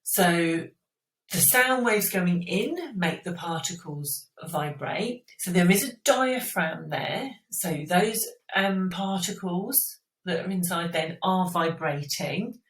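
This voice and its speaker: Low-voiced woman teaching, echoey room. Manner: informal step-by-step explaining with "okay?" check-ins. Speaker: science teacher. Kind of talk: explanatory school revision lesson. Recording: roomy echo, distant microphone.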